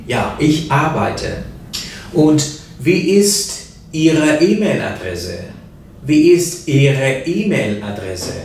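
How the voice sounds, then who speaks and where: A man in his forties teaching beginner German, saying each phrase slowly and clearly, in a small classroom with a whiteboard. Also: medium pitch, a little hiss or hum. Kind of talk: slow listen-and-repeat language lesson. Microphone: camera mic from a few feet away. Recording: echoey room, mic at a distance.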